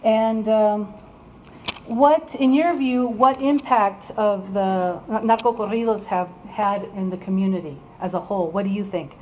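A woman's voice: medium pitch, unhurried, mellow, slight background noise, poor audio. Chatty woman guest speaker, lecturing. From Iowa, USA. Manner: chatty, with frequent ums and laughs. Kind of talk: informal lecture to an audience.

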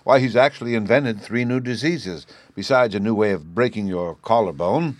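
A man voicing a kindly, jolly father who chats loudly and fondly in a storybook reading. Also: on the low side, moderate pace, no echo, close to an everyday voice. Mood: chatty. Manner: hearty, loud, fond.

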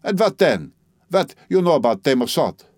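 A man doing a vain, furious old French woman of eighty-two, her voice pitched high above his own and her English broken and haughty. She scolds in a put-on French accent, recorded close and dry. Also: sing-song, normal speed, no room sound.

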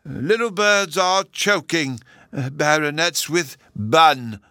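A man's voice: a little high, slow, sharp tone, no echo, clean studio sound, pitched above the performer's own voice, heavily acted. A man voicing a befuddled, kindly old professor in a storybook, with a hesitant, singsong delivery. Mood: reciting. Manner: dithering, hesitant, singsong and theatrical.

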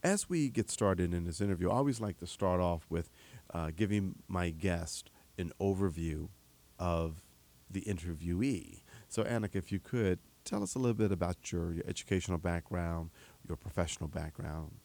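A man's voice: low-pitched, a little mellow. A man hosting a radio interview program, often stumbling and repeating words as he asks questions. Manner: halting, repeats words when starting questions. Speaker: radio host. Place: radio studio. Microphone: studio microphone.

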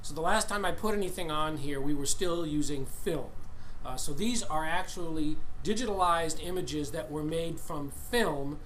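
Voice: medium pitch, moderate pace, a bit bright, rough recording. An American physician, middle-aged or older, giving an informal teaching lecture in a conversational, folksy way to a group. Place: room with a group of trainees. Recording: clear background noise, live teaching session.

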